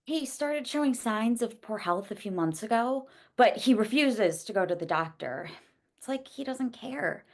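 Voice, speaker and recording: A woman's voice: fairly high pitch, expressive, measured pace. A woman playing an earnest, easily exasperated young student teacher in a script table read. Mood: earnest, pleading.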